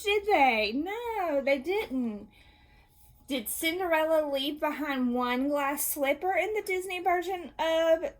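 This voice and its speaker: A woman teacher reading aloud to young children, asking questions, answering them herself and offering encouragement. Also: high voice. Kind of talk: read-aloud and question-and-answer lesson for kids.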